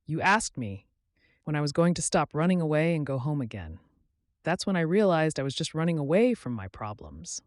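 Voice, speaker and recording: A man's voice: on the high side, very animated, moderate pace, no echo, studio quality, voice pushed up. A man voicing a wry, evasive regular guy on the run in story dialogue, with a casual, half-joking manner. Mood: earnest, wry.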